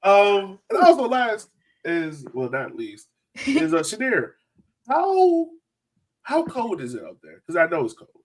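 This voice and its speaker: A man's voice: high-pitched, wildly swooping pitch, measured pace, low-quality recording. A man hosting a casual group chat, joking and exclaiming as he banters. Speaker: podcast host.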